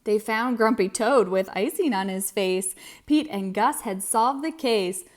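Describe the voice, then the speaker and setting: A woman's voice: medium pitch, unhurried. A woman narrating a children's read-aloud story expressively, with dramatic emphasis.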